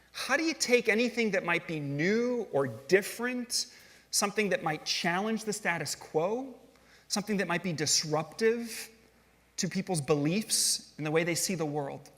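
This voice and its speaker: A man's voice: high-pitched, a bit bright. A middle-aged man giving a storytelling stage talk in a conversational, self-deprecating way, asking the audience questions. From grew up in Switzerland and California. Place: large hall on stage. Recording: long hall reverb.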